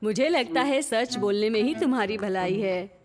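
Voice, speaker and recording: Woman playing a teasing cartoon lady, mid-pitched. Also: expressive, normal speed, a crowd in the background, lightly acted. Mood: amused teasing. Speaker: voice actor. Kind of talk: children's cartoon dub.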